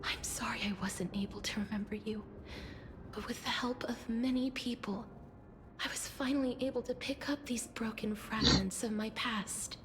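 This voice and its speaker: A woman playing a gentle, solemn young woman who tends to death in a video game, speaking softly and often in a whisper. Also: a little high, steady tone, normal speed, close to an everyday voice. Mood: tender, sorrowful. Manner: soft, breathy, often whispering. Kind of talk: dramatic video game voice acting. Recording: game music behind the voice.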